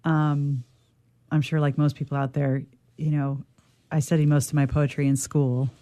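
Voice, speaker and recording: Low-voiced, unhurried woman, close dry sound. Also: flat delivery, mellow, no room sound. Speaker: radio theatre player reading poetry. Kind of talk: casual talk and measured poetry recitation.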